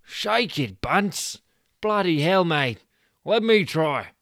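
A man's voice: fairly high pitch, slow pace, clean tone, dry recording, clean studio sound, pitched above the performer's own voice. A man voicing a boastful, reckless male TV director who bosses his cameraman about. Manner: boastful, pushy, swears.

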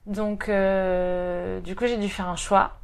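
A woman in her thirties from Brittany, a guest talking casually in an interview, with many pauses and fillers. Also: mid-range voice, little pitch movement. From Brittany, France. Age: 30s.

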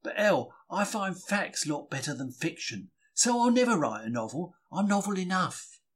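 A man giving an expressive, wry first-person reading of a diary. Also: high voice, moderate pace, slightly rough. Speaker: diary reader.

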